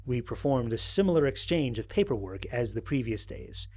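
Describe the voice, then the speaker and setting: A man playing an observant, analytical space explorer, narrating formal mission logs in a measured, precise way for an audio drama. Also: mid-range voice, big pitch swings, a little mellow. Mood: measured, reflective. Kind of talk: scripted first-person audio drama narration.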